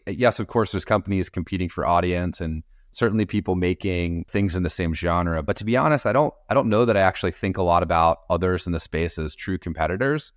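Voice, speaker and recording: Very deep-voiced man, casual and reflective. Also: dry recording, studio quality. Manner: rambling, hedging, peppered with "you know". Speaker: interview guest, podcast industry executive. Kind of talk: casual, reflective interview talk.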